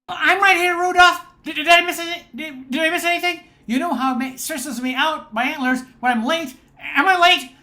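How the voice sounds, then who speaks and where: A woman's voice: high-pitched, normal speed, hardly any pauses, pushed and bright. A woman playing an anxious, over-punctual reindeer who stammers and frets in an amateur radio play. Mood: anxious, flustered. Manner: stammering, fretful.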